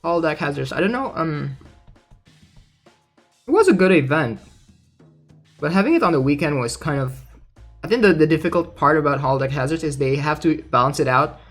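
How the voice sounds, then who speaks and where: A man's voice: fairly high pitch, lively intonation, a little fast, pauses often, fairly bright tone. A man giving casual commentary as he reads out game news, informal and thinking aloud. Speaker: gaming content creator. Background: background music.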